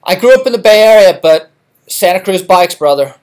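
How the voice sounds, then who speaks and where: A man's voice: high voice, lively intonation, fairly quick. A middle-aged man from England hosting a live stream, chatting casually with lots of fillers and restarts. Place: home garage.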